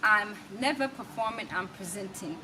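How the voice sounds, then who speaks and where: A woman's voice: mid-range voice, moderate pace. An American woman with a small voice, chatting casually as an interview guest. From United States. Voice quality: small, soft-projecting voice. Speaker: interview guest, natural healer and spoken word artist. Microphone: camera mic at a distance, no wireless mic. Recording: distant mic, noisy ambient background.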